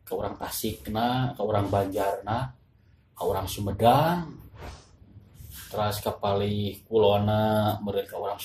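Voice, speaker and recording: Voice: mid-range voice, a little mellow, slight background noise. A storyteller with a Sundanese accent, politely addressing listeners and narrating a folk tale. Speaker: storyteller and channel host. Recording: echoey voice over clear background music. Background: background music.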